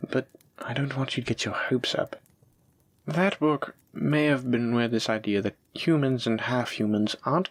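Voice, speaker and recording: A man's voice: mid-range voice, husky. A man playing a kind, bookish dragon-blooded librarian in an intimate roleplay audio drama, explaining things in an earnest, reassuring way. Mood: gentle, cautioning.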